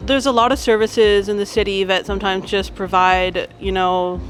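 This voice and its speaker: A woman's voice: medium pitch, little pitch movement, moderate pace, faint music behind. A woman giving a casual, informal personal account in a documentary interview. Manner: informal, conversational.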